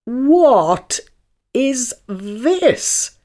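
A woman voicing a haughty, dreamy male unicorn in a storybook, speaking in a drawn-out, imperious way. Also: on the high side, sing-song, a little mellow, faint music behind, pitched above the performer's own voice, full character voice. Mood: dreamy wonder.

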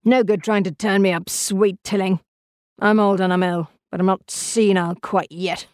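A cantankerous, gouty old gentleman from a period storybook, acted out by a woman. The voice is scratchy and shrill, full of suspicion and bossy exclamations, in a very dry recording. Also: steady tone, moderate pace, dry recording, close to an everyday voice. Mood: gruff contempt. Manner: cantankerous, exclaiming, barking orders.

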